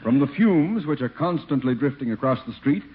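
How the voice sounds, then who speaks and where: A man's voice: mid-range voice, expressive, a little fast, husky. An actor playing a pompous, sarcastic neighbor who savors his words with sneering relish, in 1940s radio comedy style. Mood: smug, sarcastic. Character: gloating, sneering, pompous rich neighbor man. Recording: muffled old-time radio recording.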